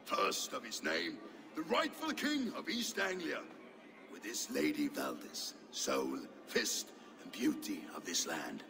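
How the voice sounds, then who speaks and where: A weary Norse warrior for a dramatic video game. His voice is gravelly and creaky, swinging widely between low and high. He is a homesick soul who can still roar at a feast. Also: sing-song, slow pace, loud music behind the voice, full character voice. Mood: proclaiming. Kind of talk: dramatic game cutscene acting.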